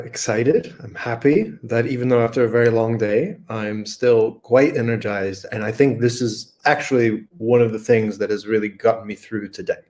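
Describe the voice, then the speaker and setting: A man talking as a guest over a video call, energetic and thinking aloud with many restarts. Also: medium pitch. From United States. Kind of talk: unscripted conversation.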